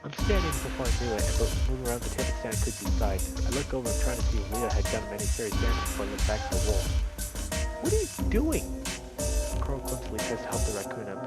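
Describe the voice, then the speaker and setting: A man with a fairly low voice narrates a visual novel for his gaming channel. His reading is expressive and dramatic, like acted story narration, with prominent music playing behind him. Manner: dramatic, with loud reactions. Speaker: let's-play host reading a visual novel aloud. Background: game music.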